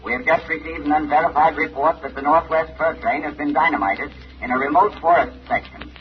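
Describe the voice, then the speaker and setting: An actor playing a serious, formal radio news bulletin announcer in an old-time radio drama. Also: male voice, on the high side, fairly quick, lightly acted. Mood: grave, urgent. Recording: dull, radio-filtered vintage sound.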